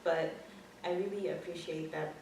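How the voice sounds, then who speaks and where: A young woman from Ghana, raised in Zimbabwe, answering interview questions in a relaxed way and laughing easily. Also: fairly low pitch, normal speed, background noise. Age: young adult. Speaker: student guest. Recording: echoey room, mic at a distance.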